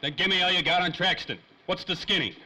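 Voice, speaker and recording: A man's voice: high-pitched, fast talker, pushed and bright, full character voice, low-quality recording. An actor playing a tough, menacing former private detective in a 1930s-style radio crime drama, talking in clipped, slangy tough-guy lines. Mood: threatening.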